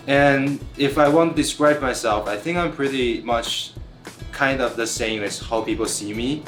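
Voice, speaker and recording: A man in his twenties from Taiwan, a student giving earnest, halting interview answers with some restarts. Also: lowish voice, a bit bright. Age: 20s. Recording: roomy echo with guitar music.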